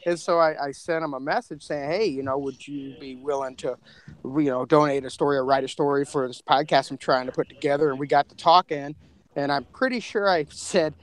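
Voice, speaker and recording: A man's voice: fairly high pitch, fairly even intonation, fairly quick. A man, a podcast co-creator, telling anecdotes in a casual, conversational way.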